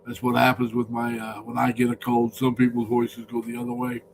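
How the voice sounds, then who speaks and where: A man chats loosely on a live stream, his voice deeper than usual from a cold. He rambles and greets viewers by name, at a middling pitch, over a faint hum. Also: completely flat delivery, brisk, creaky. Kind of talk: casual live-stream chat.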